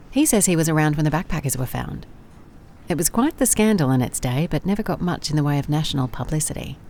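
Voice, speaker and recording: Low-voiced, wry woman private investigator. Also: a little mellow, a little hiss or hum. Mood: dry, wry. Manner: dry, musing, clipped note-taking. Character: wry, methodical female private investigator dictating case notes. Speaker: lead actor in audio drama. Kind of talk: first-person audio drama case log.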